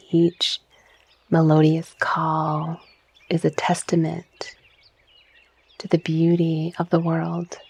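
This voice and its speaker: A woman softly narrating a guided relaxation visualization in a breathy, soothing, measured manner. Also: lowish voice, dry recording. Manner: soft, breathy, soothing, gently measured delivery. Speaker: guided meditation narrator, mindset coach.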